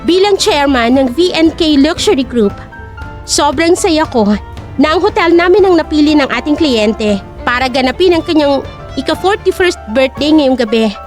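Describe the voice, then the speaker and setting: Woman acting as wealthy female chairman, high-pitched, calm, formal, self-assured, lively intonation, audio drama. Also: moderate pace, soft background music. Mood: calm, authoritative. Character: confident wealthy young woman hotel owner giving a poised speech. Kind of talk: audio drama character speech.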